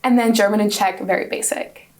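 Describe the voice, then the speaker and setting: A woman's voice: medium pitch, fairly even intonation, a little fast. Chatty young woman student guest. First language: Hungarian. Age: 20s. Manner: chatty, upbeat, with frequent restarts. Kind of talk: casual interview answers.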